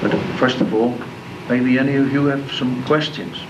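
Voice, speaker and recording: A man in his seventies from the Netherlands with a Dutch accent, speaking to a school class about his memories in a plain, measured storytelling manner. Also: mid-range voice, fairly even intonation. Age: 70s. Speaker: guest speaker. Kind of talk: recounting wartime memories to students. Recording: distant, dull lo-fi tape with clear noise.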